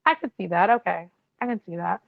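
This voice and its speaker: A woman co-hosting a podcast who explains history in a run-through way and answers her co-host with quick agreement. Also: on the low side, lively intonation, vocal fry, no echo, narrow, tinny audio. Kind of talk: casual chat.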